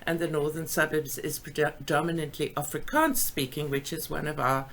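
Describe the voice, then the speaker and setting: Chatty South African woman, lowish voice. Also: moderate pace, fairly bright tone. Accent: South African English. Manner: chatty, laughs often, enthusiastic. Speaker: host. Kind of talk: casual chat. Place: home. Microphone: video call with earphones.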